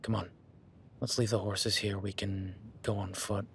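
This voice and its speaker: A man voicing a rugged, protective cowboy rancher in a listener-addressed audio roleplay, talking in a low-key, calm, instructive way that often drops to a hush. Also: unhurried, a little hiss or hum. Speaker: ranch hand tracking a beast.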